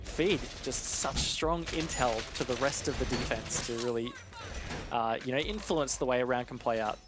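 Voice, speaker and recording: A man's voice: medium pitch, normal speed, music in the background. A man giving conversational, analytical commentary on a live esports match. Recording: game gunfire and clicks behind voice. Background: game sound with gunshots.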